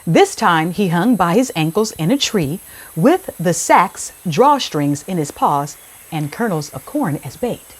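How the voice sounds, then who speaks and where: A woman hosting a children's read-aloud, speaking in a deliberate, clearly phrased storytelling style. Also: lowish voice.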